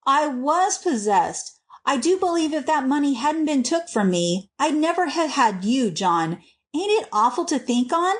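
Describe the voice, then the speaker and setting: A woman's voice: sits high, sing-song, measured pace, pitched above the performer's own voice. A woman voicing a proud young working-class woman in a storybook, self-blaming and emphatic in rustic colloquial speech. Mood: remorseful.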